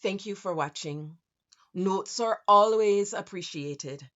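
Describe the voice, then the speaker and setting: Slow-speaking woman with a Grenadian accent. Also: medium pitch, a bit bright, highs cut off. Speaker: accent practice performer. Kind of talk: brief scripted intro and sign-off.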